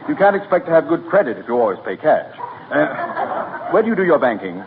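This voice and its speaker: A man's voice: on the high side, sing-song, brisk. An actor playing an officious, slick store salesman with formal, fussy patter, in 1940s radio comedy style. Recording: tinny, noisy 1940 radio broadcast.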